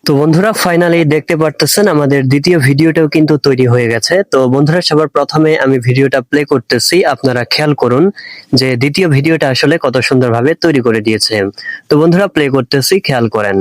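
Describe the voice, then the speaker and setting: A man's voice: on the high side, quick pace, no room sound. A man hosting a tech tutorial, narrating step by step and addressing viewers directly as friends.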